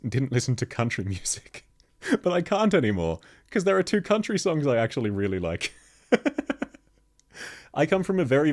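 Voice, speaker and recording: A man with a very deep bass voice, chatting casually with viewers on a live stream and laughing often. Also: a little mellow. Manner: laughs constantly, chatty, answers chat questions. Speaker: VTuber streamer. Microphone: streaming microphone, level shifts as he moves.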